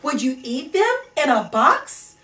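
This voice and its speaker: A woman's voice: high-pitched, sing-song, takes it slowly, bright, forceful tone, slight background noise, full character voice. A woman voicing a cheerful, persistent storybook pest who coaxes and keeps asking questions. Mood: coaxing. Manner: coaxing, questioning. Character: persistent cheerful pest pushing food on someone. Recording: echoey room, distant microphone.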